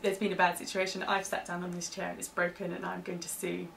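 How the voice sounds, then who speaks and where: British woman explaining stuff in an interview, informal and hedgy, creaky flat voice, bit far from the mic w faint noise. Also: fairly low pitch, fast. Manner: hedging, informal explanations with "kind of". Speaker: interviewee explaining legal terms for staff training.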